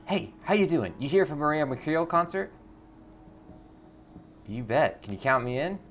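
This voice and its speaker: A man's voice: on the high side, big pitch swings, normal speed, fairly bright tone, a little hiss or hum, pitched above the performer's own voice. A man voicing an eager young rock concert fan in a video game, chatty and informal. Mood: eager, excited. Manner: eager, informal street talk.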